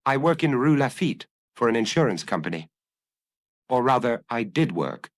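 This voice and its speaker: A man's voice: mid-range voice, very animated, moderate pace, no room sound, studio quality, lightly acted. A man voicing a troubled young Frenchman in an audiobook, melancholy and hesitant as he explains himself earnestly. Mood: resigned. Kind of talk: dramatised audiobook dialogue.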